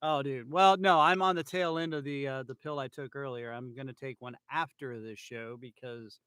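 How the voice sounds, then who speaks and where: A man's voice: mid-range voice, steady tone, moderate pace, a bit bright, clear, resonant tone, no room sound. A man from California hosting a casual podcast, rambling with lots of fillers and restarts, a bit loopy on pain pills, talking into an iPhone. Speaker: podcast host. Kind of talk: casual chat.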